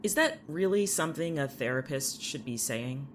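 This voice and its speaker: Man playing a sardonic, animated high school student. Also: moderate pace, a little hiss or hum. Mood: defensive, dismissive. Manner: sardonic, blunt, irritable. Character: sarcastic, defensive, jaded male high school student. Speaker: actor in audio drama. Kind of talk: audio fiction dialogue.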